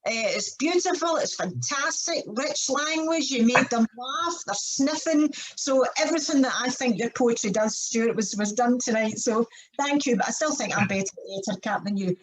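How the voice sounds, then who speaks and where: A woman's voice: a little high, lively intonation, moderate pace, low-quality recording. A woman hosting an online event in a cheerful, teasing manner, speaking over a video call. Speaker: host.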